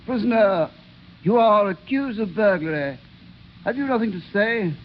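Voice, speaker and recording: An actor playing a pompous, mocking judge with a declamatory manner in an old radio drama. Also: male voice, high-pitched, lively intonation, normal speed. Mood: scornful. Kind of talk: 1930s radio drama acting. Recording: muffled, noisy vintage radio recording.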